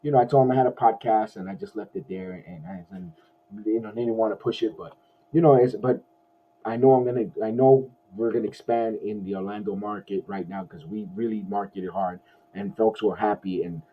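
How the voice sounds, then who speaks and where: A man hosting a casual post-game sports podcast, his voice hoarse, rambling with many restarts like an excited fan. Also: fairly low pitch, fairly quick, a little hiss or hum. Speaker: podcast host. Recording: dull lo-fi audio.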